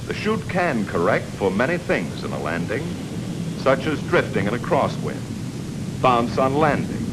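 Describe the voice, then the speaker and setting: An American man delivering scripted narration for a 1950s military training film, measured, instructive and emphatic. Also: medium pitch. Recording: hissy, noisy old film soundtrack.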